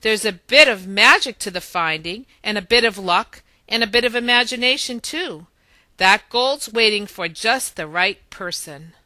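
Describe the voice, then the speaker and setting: Woman playing a bright, bossy little male leprechaun. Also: medium pitch, animated, moderate pace, no echo, low-quality recording. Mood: explaining. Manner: Irish turns of phrase, commanding.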